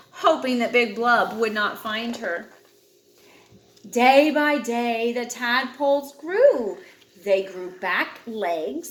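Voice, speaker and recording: A woman's voice: a little high, roomy sound. A woman reading a picture book aloud expressively, as a storyteller to a child, with dramatic emphasis on key words.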